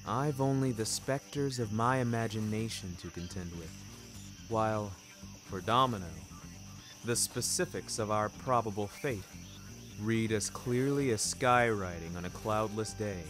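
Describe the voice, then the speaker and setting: A man's voice: lowish voice, measured pace, music in the background. A man narrating a fiction podcast in the first person, with florid, precise, theatrical delivery.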